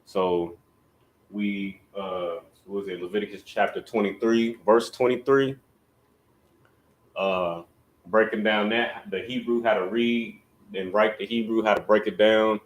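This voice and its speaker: A man with a fairly low voice leads an online class and speaks straight to his viewers. His delivery is loose and conversational, with the same filler phrase coming back often as he gives instructions. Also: steady tone, a little slow, a little hiss or hum. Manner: casual, loose delivery with repeated "you know what I'm saying". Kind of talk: livestreamed religious language class.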